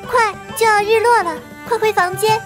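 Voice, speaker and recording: A woman's voice: very high-pitched, steady tone, normal speed. An actress playing a carefree, curious young village girl in a radio drama, innocent, playful and inquisitive. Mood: urgent. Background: background music.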